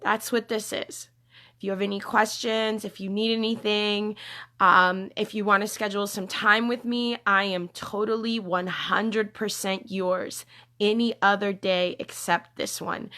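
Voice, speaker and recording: A woman's voice: mid-range voice, sharp tone. A woman life coach talking casually to her followers on a livestream, earnest and repetitive, often checking in with "okay?". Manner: earnest, repeats phrases, many fillers and "okay?". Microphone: phone or webcam.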